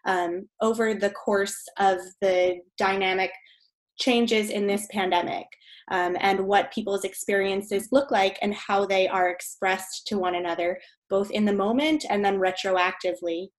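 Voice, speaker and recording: A young woman guest explaining in a measured, articulate way with small pauses, recorded over a remote call. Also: medium pitch, steady tone. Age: young adult. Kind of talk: casual chat.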